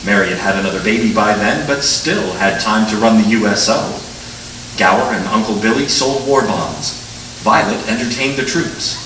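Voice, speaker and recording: An actor playing an authoritative senior angel narrator, telling the story dramatically in measured 1940s radio style. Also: male voice, lowish voice, fairly bright tone, lightly acted. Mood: solemn storytelling. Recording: echoey stage, distant mic, noisy.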